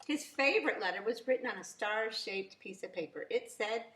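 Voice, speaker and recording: A woman reading a picture book aloud to young children as a teacher, very expressively, with drawn-out emphasis. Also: on the high side, lively intonation, a bit bright. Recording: roomy, echoing sound.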